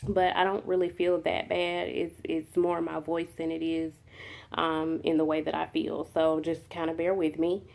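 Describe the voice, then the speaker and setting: A woman talks to her camera in a soft, unhurried way, filling pauses with ums. A cold leaves her a little nasal. Her voice sits fairly low with a gravelly fry. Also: completely flat delivery. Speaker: mukbang vlogger. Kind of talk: casual talk to camera.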